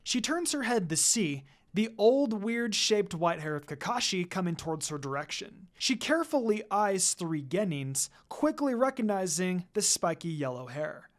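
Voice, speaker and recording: A woman reads fan fiction as a storyteller. Her intonation is animated and moves widely around a mid-range pitch. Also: moderate pace, few pauses. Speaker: narrator. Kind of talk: scripted narration of fan fiction.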